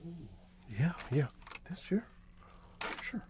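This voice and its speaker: A middle-aged man in an ASMR roleplay as a friendly candy seller, speaking softly and half in whispers to a customer, close to the mic. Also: on the high side, expressive, noisy background. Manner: soft-spoken, half-whispered, unhurried, friendly shopkeeper patter. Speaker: ASMR performer playing a candy shop keeper. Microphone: close mic, facing the camera at close range.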